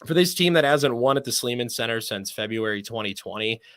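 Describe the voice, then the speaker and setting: A man's voice: mid-range voice, lively intonation, a little fast, a bit bright, no echo. A man speaking as a sports broadcaster guest in an interview, enthusiastic and wordy.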